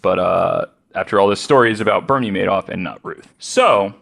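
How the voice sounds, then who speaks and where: A man's voice: low-pitched, animated, fairly quick, creaky, a bit bright. A man hosting a podcast, reading out a true-crime account with joking asides. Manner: joking, laughs often. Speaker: podcast host.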